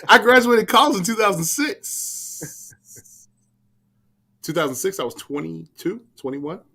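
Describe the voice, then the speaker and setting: A man's voice: high voice, measured pace, gravelly, slightly rough. A man in his thirties from Philadelphia, a guest on a casual podcast, telling stories with slang and acting out what people said. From Philadelphia, USA. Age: 30s. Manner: animated storyteller, acts out quotes, slangy.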